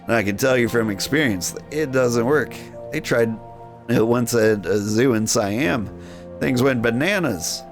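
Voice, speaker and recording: A man voicing a genial, well-mannered gentleman animal dealer in a video game, genteel and kindly. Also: mid-range voice, measured pace, faint music behind, natural voice. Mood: consoling.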